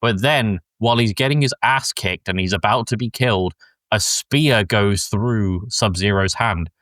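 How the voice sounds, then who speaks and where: Low-voiced man, rambling casual podcast host. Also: a bit bright, no room sound. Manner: rambling and halting, frequent swearing. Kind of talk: casual recap of a film plot.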